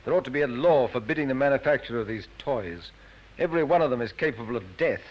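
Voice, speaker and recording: Male actor as british police inspector, mid pitch, brisk and in charge, dull old radio sound. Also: lively intonation, natural voice. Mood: grave. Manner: brisk, authoritative. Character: stern, methodical Scotland Yard police inspector. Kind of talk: 1950s radio drama acting.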